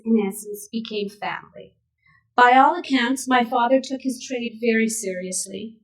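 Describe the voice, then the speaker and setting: A woman in her sixties from Canada, whose first language was Yiddish, reading a prepared speech at a memorial event in a measured, steady way. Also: a little high. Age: 60s. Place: synagogue event hall. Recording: voice picked up from a distance.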